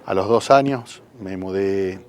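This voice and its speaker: A man's voice: low-pitched, measured pace, a little hiss or hum. A man of about fifty with a Buenos Aires Argentine accent, answering interview questions conversationally and often ending phrases with a checking tag. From San Isidro, Buenos Aires, Argentina. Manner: frequent rhetorical "¿no?" tags. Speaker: local politician, council president, interview guest.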